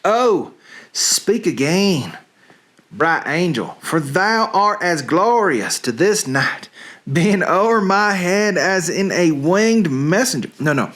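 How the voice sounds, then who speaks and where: Lovestruck young suitor male reader: declamatory Shakespearean verse, dreamy and slow, with highly varied intonation. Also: a bit bright, full character voice. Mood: rapturous.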